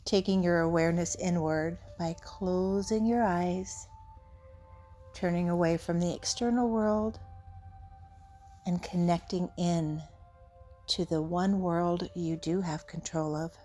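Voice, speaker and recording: Slow, mellow woman guiding meditation. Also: lowish voice, fairly even intonation. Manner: soothing, gentle, unhurried with long pauses. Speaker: meditation guide, healer. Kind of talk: unscripted guided meditation. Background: soft background music.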